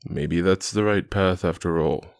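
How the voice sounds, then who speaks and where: A performer voicing a giant, solemn male cat-warrior in an audio drama, low and hushed, speaking gravely in few words. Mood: grave, gentle. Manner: slow, terse, grave. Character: giant gentle solemn cat-man warrior, protective and sorrowful.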